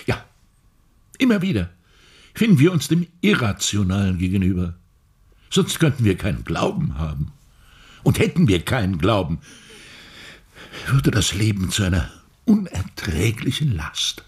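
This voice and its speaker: An actor playing an elderly, philosophical and evasive science professor in a radio play, husky and deliberate in delivery. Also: male voice, on the low side, big pitch swings, heavily acted. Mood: philosophical, pontificating. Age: older.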